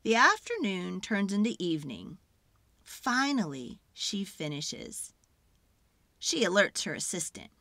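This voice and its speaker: Animated woman reading a storybook aloud. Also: medium pitch, vocal fry, no room sound. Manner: expressive, deliberate, acts out sounds and feelings. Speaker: children's book read-aloud narrator. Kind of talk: dramatic storybook narration.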